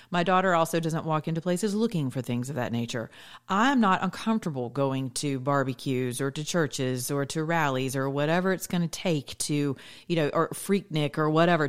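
A woman's voice: low-pitched, smooth. An American woman hosting a political talk show in a conversational style.

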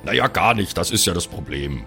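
A man's voice: low voice, animated, brisk, creaky, sharp tone, faint music behind, voice pushed down, full character voice. A man playing a put-upon, bookish grown son who is a devout fantasy cleric, earnest, whiny and self-justifying, in an improvised audio play. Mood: earnest, plaintive.

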